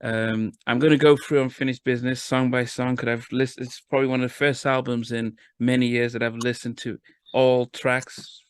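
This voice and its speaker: A man hosting a casual video-call interview, eager and halting, with lots of restarts and fillers. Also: medium pitch, level tone, clear, resonant tone, no room sound. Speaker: interview host.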